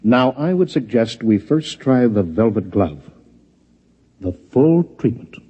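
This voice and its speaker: A man's voice: medium pitch, sing-song, breathy edge. Officious security man, muffled old radio. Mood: earnest, officious. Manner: formal, deliberate, bureaucratic. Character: earnest, officious male government security interrogator. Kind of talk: 1950s radio drama acting.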